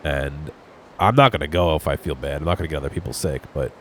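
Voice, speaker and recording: Congested young man, very deep voice. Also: quick pace, a little hiss or hum. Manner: rambling, chatting with viewers. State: congested with a cold. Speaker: gaming streamer. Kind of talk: casual let's play commentary.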